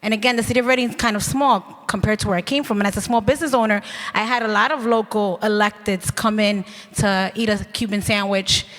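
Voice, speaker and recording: A woman state representative answering on a panel in an earnest, conversational way, often ending points with a quick "right?". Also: medium pitch, steady tone, fairly bright tone. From New York City. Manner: conversational, earnest, with tag questions. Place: conference hall panel. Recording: reverberant hall.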